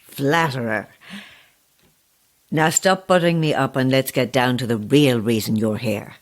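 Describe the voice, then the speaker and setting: A woman playing a sly, motherly hostess in her seventies in an audio drama, husky, blunt and playful, cooing endearments. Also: a bass voice, unhurried. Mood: dry, teasing. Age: 70s. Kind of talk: audio horror drama acting.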